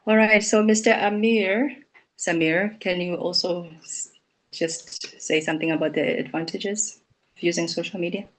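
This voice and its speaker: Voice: medium pitch, steady tone, moderate pace, rough recording. Encouraging English teacher hosting an online class. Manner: encouraging, affirming with "truly" and "mm-hmm". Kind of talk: leading an online speaking class. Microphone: video call.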